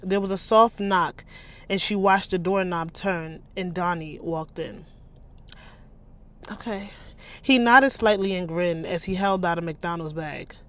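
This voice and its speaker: A woman's voice: fairly low pitch, unhurried. A woman author reading her own novel aloud, with sassy, incredulous, slangy commentary in a casual style.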